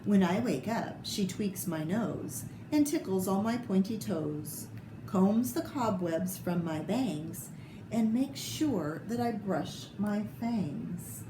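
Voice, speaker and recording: A woman's voice: medium pitch, animated, slow pace. An American woman reading picture books aloud to children, expressively and unhurriedly, with little asides to her listeners. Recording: echoey room with clear background noise.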